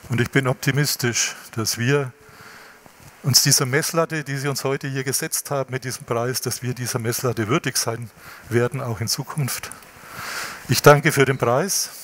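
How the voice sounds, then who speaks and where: A man in his fifties giving a measured acceptance speech at a lectern in a wood-panelled hall. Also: medium pitch, fairly even intonation, a bit bright. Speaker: company executive accepting an award.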